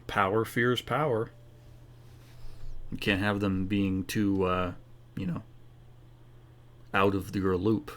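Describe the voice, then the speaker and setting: A man's voice: on the low side, flat delivery, slow, long pauses. A man giving casual, laid-back playthrough commentary, musing aloud as a gaming streamer. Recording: faint game sound behind voice. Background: video game audio.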